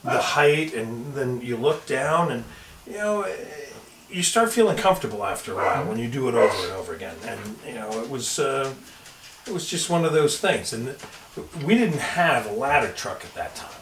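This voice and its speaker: A man's voice: mid-range voice, sing-song, moderate pace, a bit bright. A man, a firefighter, telling stories in casual conversation in a workshop. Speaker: firefighter in a panel chat. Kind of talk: casual chat, storytelling. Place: indoor workshop. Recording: echoey room, distant mic, background noise.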